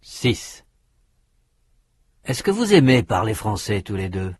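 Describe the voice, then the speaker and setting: A man reading drill questions for a language course, in slow prompts with lively intonation. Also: lowish voice, husky.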